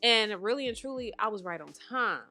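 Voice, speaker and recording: A woman's voice: on the high side, expressive, moderate pace, pushed and bright, soft background music. Chatty congested woman in her thirties. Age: 30s. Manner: chatty, calls listeners honey and baby. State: congested, a little nasal. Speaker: podcast host. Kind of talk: casual podcast monologue. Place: at home.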